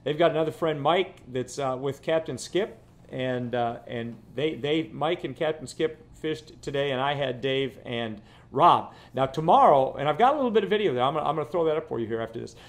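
A man's voice: medium pitch, animated, fairly quick. A man in his fifties, a fishing guide hosting his vlog from his living room, talking casually and rambling, often repeating words. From Florida. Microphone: camera close up, seated.

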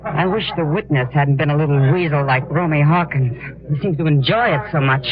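A woman's voice: deep voice, fairly quick, crowd noise, lightly acted. A woman playing a frank, sympathetic American saloon woman in a 1950s radio western. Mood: upset, scornful. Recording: dull 1950s radio recording.

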